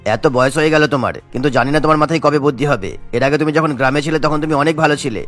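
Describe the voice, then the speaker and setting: A man's voice: fairly high pitch, racing, fairly bright tone, soft background music, lightly acted. A man voicing a stern, thrifty older husband who scolds his wife in an exasperated way. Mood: scolding.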